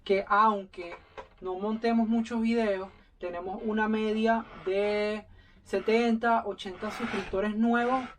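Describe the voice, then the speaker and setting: A man's voice: sits high, fairly even intonation, slow pace, far from the mic, a little hiss or hum. A man who is a sailing YouTuber, chatting casually in an interview, rambling and promoting his services.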